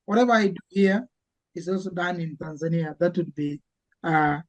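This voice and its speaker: Earnest man, halting speech. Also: fairly high pitch, poor audio. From Kenya. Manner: earnest, halting, repeats words as he searches. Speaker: conservationist and medic guest. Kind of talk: casual interview chat.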